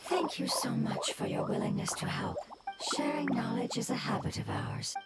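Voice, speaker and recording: A woman's voice: fairly high pitch, normal speed, natural voice. A woman playing a kindly, reassuring interpreter in a video game, explaining politely and carefully. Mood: grateful. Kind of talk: video game character acting. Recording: loud music underneath.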